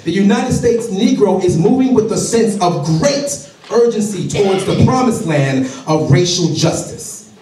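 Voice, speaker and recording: A man giving a dramatic public reading from a stage, with deliberate, oratorical phrasing and pauses. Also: sits high, lively intonation, warm tone. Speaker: public reader at a community program. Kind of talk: dramatic public reading of a famous letter aloud. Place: large indoor hall, on stage before a seated audience. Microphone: stage microphone through speakers, recorded by far camera in audience. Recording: echoey hall from far off, faint noise.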